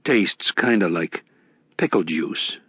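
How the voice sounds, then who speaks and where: A man voicing a guarded, plain-spoken ex-convict with a deadpan, understated manner in an audiobook. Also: deep voice, slow pace, breathy edge, no room sound, clean studio sound, voice pushed down, full character voice. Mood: wary. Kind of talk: audiobook character acting.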